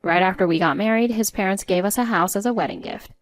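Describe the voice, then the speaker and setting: A woman reading a scripted first-person story in a measured, articulate way. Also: medium pitch, dry recording. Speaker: story narrator.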